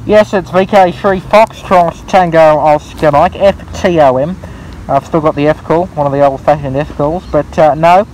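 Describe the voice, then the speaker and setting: Australian man on ham radio, higher-pitched. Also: moderate pace. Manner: repeats phrases, spells out callsigns phonetically. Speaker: amateur radio operator. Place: outdoors in a local park. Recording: outdoor recording with faint background noise.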